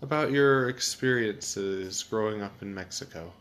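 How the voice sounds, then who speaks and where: A man's voice: lowish voice, unhurried, fairly bright tone, a little hiss or hum. A man interviewing someone with simple prepared questions.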